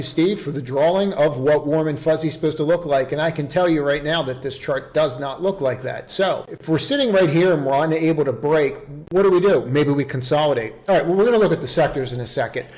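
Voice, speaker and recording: A man's voice: fairly high pitch, a little mellow. A man hosting an unscripted market commentary, informal and instructive, repeating phrases for emphasis. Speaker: stock trading video host and coach.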